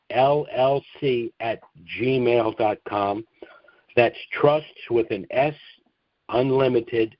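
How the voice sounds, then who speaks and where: A man hosting a business conference call and question session, explaining things in a halting way with stammered restarts. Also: mid-range voice, no room sound. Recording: dull lo-fi call audio.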